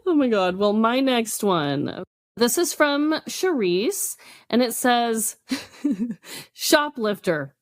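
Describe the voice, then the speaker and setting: A middle-aged woman in casual podcast banter, expressive and giggly, often exclaiming as she reacts. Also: a little high, takes it slowly, no echo, studio quality. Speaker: podcast host. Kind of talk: casual banter, reading listener confessions.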